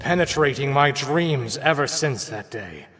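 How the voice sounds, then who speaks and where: A man voicing a tormented, self-loathing young soldier cursed to become a werewolf, in a brooding, halting, melodramatic gothic visual novel style. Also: mid-range voice, animated, fairly bright tone, husky, no room sound, soft background music. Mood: bitter, anguished. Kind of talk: gothic visual novel voice acting, dramatic monologue.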